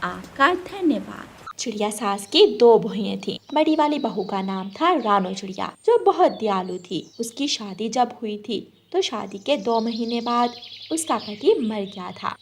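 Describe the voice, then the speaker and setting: A woman's voice: on the high side, expressive, unhurried, slight background noise. A woman narrator reading a scripted moral story for a children's cartoon.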